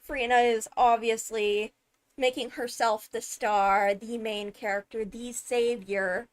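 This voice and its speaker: High-voiced woman, slow casual commentary. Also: fairly even intonation. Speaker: streamer, host. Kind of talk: casual commentary on a game video.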